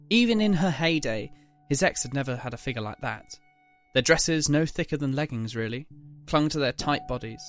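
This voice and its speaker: A man reading scripted, dramatic story narration as the narrator. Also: medium pitch.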